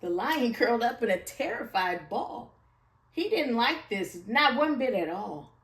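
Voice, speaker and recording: A woman's voice: a little high, expressive, measured pace, a bit bright, slight background noise. A woman storyteller reading a rhyming children's picture book aloud with expression. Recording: echoey room, far from the mic.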